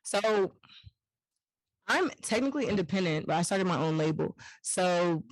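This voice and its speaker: Deep-voiced woman, podcast guest, relaxed rambling delivery, dry close sound. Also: normal speed, no room sound, poor audio. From family from Jamaica. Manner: relaxed, rambling, laughs easily. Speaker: guest singer-songwriter. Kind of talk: casual interview chat.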